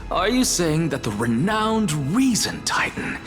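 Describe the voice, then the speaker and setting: A cool, defiant one-eyed young scholar from a fantasy game, his soft and often breathy voice rising and falling widely. It sits in a long, roomy echo with clear music behind it. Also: fairly high pitch, wildly swooping pitch, measured pace. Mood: needling. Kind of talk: game voice acting.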